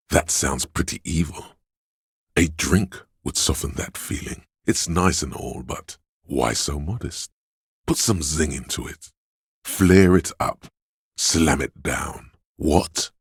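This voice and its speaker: The seductive inner voice of craving in a video game, extremely deep and thick with gravel. He drawls and insinuates like a tempter, husky and rough-edged, always goading toward pleasure. The sound is close and dry. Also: no echo, full character voice. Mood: sly, lustful. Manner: sensual, drawling, insinuating, savouring words. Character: hedonistic inner voice of addiction and lust, seductive and goading. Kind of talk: video game character voice-over.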